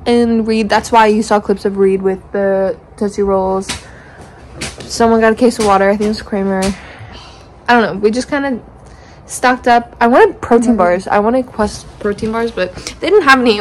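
High-voiced teenage girl chatting to her vlog. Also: normal speed, a little hiss or hum, poor audio. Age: teens, about 18. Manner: chatty, rambling, informal slang. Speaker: vlogger. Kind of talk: casual vlog chat. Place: hotel room, restaurant and street on a trip. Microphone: phone at arm's length.

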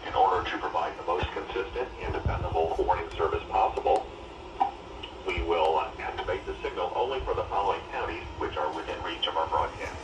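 A man reading a formal, measured weather service announcement, heard through radio speakers. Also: low voice. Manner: measured, impersonal. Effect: played through weather radio speakers. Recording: radio speakers picked up by phone, very noisy.

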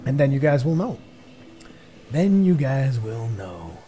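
A young man from Portugal, a native Portuguese speaker, giving jokey rambling let's play commentary on a headset as his voice grows tired and hoarse. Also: mid-range voice, big pitch swings, slow pace, dark tone. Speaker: gaming commentator.

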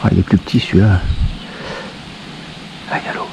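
French man muttering on a noisy riverbank. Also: brisk. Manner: muttering to himself, bursts of excited swearing. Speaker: angler filming his own fishing. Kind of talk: casual running commentary. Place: outdoors on a riverbank. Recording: outdoor riverbank with loud water noise.

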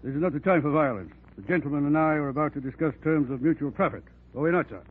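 An actor playing a pompous, wordy criminal mastermind with florid, formal manners in a 1940s radio drama. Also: male voice, a little high, fast, slightly rough. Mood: suave, persuasive. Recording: muffled, noisy 1940s radio recording.

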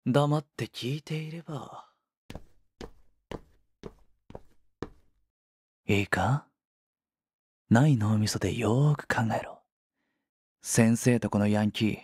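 A man's voice: lowish voice, darkish tone, breathy edge, no room sound, full character voice. An actor playing a smug, condescending, possessive male schoolteacher in a romantic audio drama. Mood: smug, coaxing. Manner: condescending, teasing.

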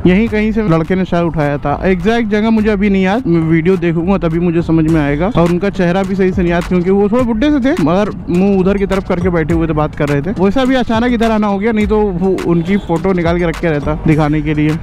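A man's voice: sits high, darkish tone. Chatty young man vlogging from a motorbike. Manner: chatty, joking, pleading with viewers. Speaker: moto vlogger. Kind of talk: casual vlog commentary while riding. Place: outdoors on a motorbike in city traffic. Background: music and traffic.

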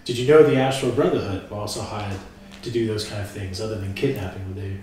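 A man's voice: lowish voice, quick pace, warm tone. A man talking casually as a player in a tabletop role-playing game discussion. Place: living room group session. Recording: very echoey room, distant mic, faint noise.

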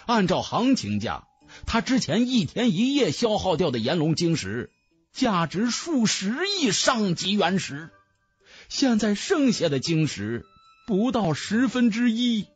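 A man's voice: sits high, sing-song, measured pace, breathy edge, soft background music. A man narrating a fantasy audiobook in a dramatic, scripted storytelling style.

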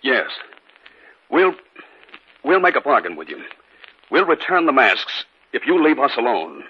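A man's voice: medium pitch, big pitch swings, a little slow, breathy edge. An actor playing an anxious, earnest man in a 1950s radio drama, with a tense manner. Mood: guarded. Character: anxious, earnest man narrating and facing danger. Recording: noisy, muffled 1950s radio broadcast.

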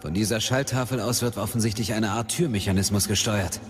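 An actor playing a businesslike military captain in a radio play, reporting in a matter-of-fact manner. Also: male voice, fairly low pitch, a little fast, lightly acted. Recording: reverberant studio drama, faint music.

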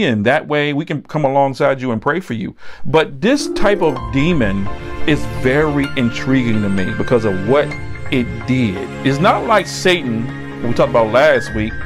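A middle-aged American man delivering a sermon as a preacher, blunt and admonishing, driving points home with repetition. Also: medium pitch. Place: indoor set with backdrop, standing at a table.

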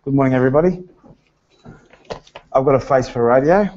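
A middle-aged man delivers a prepared testimony at a medium pitch. His phrasing is deliberate and frank. The recording is a bit muffled and lo-fi, from a seminar webcast. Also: a little mellow, slight background noise. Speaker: guest speaker giving personal testimony. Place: small indoor seminar room. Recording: dull lo-fi seminar webcast sound.

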